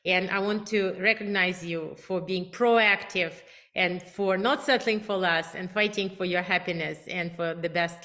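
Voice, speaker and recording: A woman's voice: medium pitch, fairly bright tone. A woman from Russia with a Russian accent, a relationship coach giving emphatic, enthusiastic advice straight to her viewers. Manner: emphatic, enthusiastic, self-promoting. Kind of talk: direct-to-camera advice talk.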